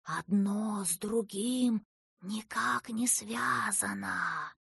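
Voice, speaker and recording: A woman voicing a royal grass snake that speaks in a slow, grudging, breathy rustling whisper. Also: medium pitch, fairly bright tone, dry recording, studio quality, heavily acted. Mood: dismissive. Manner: slow, drawn-out.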